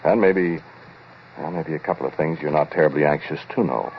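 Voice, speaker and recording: Deep-voiced, ominous radio storyteller. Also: male voice, slight background noise. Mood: sardonic, menacing. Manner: intimate, deliberate, sardonic, addressing the listener directly. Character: ominous, knowing male scientist confiding a strange tale. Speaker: narrator and lead actor in a radio play. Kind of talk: 1940s radio drama narration. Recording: muffled vintage 1940s radio recording.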